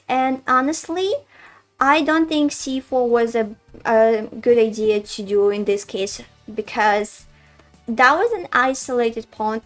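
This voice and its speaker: A woman from Russia with a Russian accent, commentating her own chess games live and chatting to viewers as she thinks aloud. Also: on the high side. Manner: chatty, addresses chat as guys, thinks aloud. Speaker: chess streamer. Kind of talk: live-stream commentary while playing.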